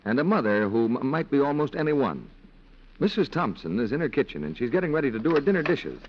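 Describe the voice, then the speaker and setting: A very animated man of medium pitch announces in scripted 1940s radio style. The recording is muffled and clearly noisy. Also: quick pace. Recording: muffled, noisy old radio, audience behind.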